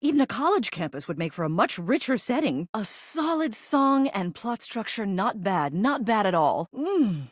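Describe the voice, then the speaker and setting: A woman's voice: mid-range voice, big pitch swings, moderate pace, few pauses, no echo, clean studio sound. Theatrical, haughty lady critic with swooping intonation. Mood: pleased, appraising. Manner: theatrical, arch, drawling emphasis. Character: living ceiling, theatrical lady arts critic, haughty yet fond. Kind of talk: video game character voice acting.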